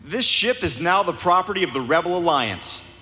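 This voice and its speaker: An actor playing an eager, confident young rebel hero in a live audio drama, with an earnest, excitable manner. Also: male voice, sits high, moderate pace. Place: convention stage before a live audience. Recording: reverberant live hall sound.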